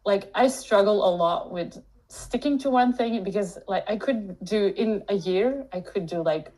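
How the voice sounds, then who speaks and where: A woman's voice: medium pitch, a little slow, darkish tone, low-quality recording. A woman in her early thirties from Belgium, co-hosting a casual podcast, rambling with lots of fillers and giggles. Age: 30s. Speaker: co-host.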